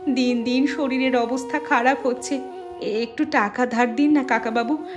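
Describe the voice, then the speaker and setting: A woman's voice: sits high, steady tone, unhurried, music in the background. A woman voicing a brave, devoted elder sister in a cartoon, earnest and determined. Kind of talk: cartoon voice acting.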